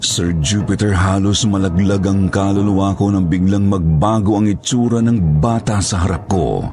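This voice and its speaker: A man's voice: low voice, moderate pace, mellow, soft background music. A man reading scripted first-person narration of a horror story.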